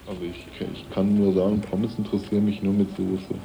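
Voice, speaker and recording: A man with a gravelly, dark voice chatting casually and bluntly. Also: low voice, completely flat delivery, brisk, a little hiss or hum. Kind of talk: casual chat.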